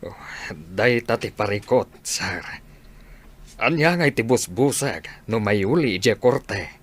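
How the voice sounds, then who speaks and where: An actor with a northern Philippine accent playing a weary but grateful older village man in a radio drama, plaintive and expressive. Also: on the low side, takes it slowly, heavily acted. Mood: worried.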